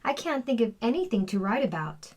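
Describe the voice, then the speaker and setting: A woman's voice: on the high side, big pitch swings, fairly quick, darkish tone. A woman reading a storybook, voicing a fretful little schoolboy who is stuck for ideas, in a plaintive manner. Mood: apologetic.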